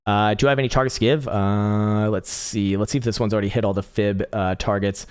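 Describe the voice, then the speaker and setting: A man's voice: on the low side, steady tone, a little mellow, clear, resonant tone. A young man hosting a live crypto chart stream, talking casually and profanely, laughing often and starting to lose his voice. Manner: rapid, profane, laughs often, restarts words. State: losing his voice. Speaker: livestream host, crypto chart analyst.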